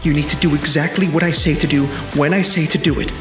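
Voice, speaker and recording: Man acting an urgent, high-pitched time-traveling protector. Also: steady tone, quick pace, close to an everyday voice. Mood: urgent, commanding. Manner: commanding, terse, insistent. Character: urgent male time-traveling protector from the future, commanding and cocky. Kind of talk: action sci-fi film acting. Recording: roomy sound with music behind.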